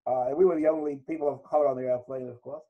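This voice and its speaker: A man's voice: medium pitch, fairly even intonation, racing, phone-quality sound. An older man from Trinidad, a guest author, telling his life story in a reflective interview. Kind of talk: interview conversation.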